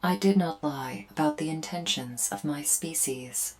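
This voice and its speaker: A woman's voice: sits low, measured pace, a little mellow. A woman playing a remorseful alien invader in an ASMR roleplay, speaking in formal, precise, stilted phrases. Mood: formal, assuring. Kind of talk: scripted ASMR roleplay to the listener.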